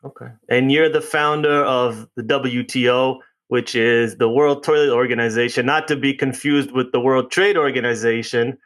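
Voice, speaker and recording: A man's voice: mid-range voice, fairly even intonation, moderate pace, hardly any pauses, a bit bright. A man hosting a podcast in a friendly, conversational way, often restarting his sentences. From United States. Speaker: podcast host. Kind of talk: casual interview chat.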